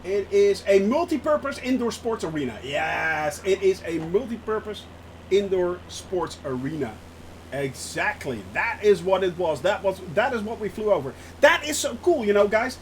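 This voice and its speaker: A Dutch man with a clear Dutch accent talks his way through a live stream, chatty and excitable, often breaking into laughter. His voice sits fairly high and leaps up and down a great deal as he reacts. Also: normal speed, slight background noise, rough recording. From Netherlands. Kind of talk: casual live-stream chat while flying a simulator.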